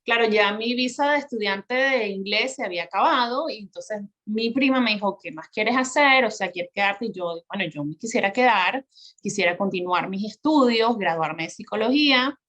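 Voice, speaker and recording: A woman's voice: mid-range voice, lively intonation, moderate pace, a bit bright, muffled recording. Chatty Venezuelan woman, laughing often. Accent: Venezuelan Spanish. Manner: chatty, laughs often, many fillers and restarts. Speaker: guest. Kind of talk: telling her life story in conversation.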